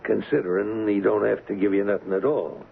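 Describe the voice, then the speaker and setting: Gravelly, cocky street-tough gangster. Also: male voice, lowish voice, fairly even intonation, brisk, narrow, tinny audio. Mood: cocky, menacing. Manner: slangy, taunting, rough grammar. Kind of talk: old-time radio crime drama acting. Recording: thin, band-limited, hissy old radio recording.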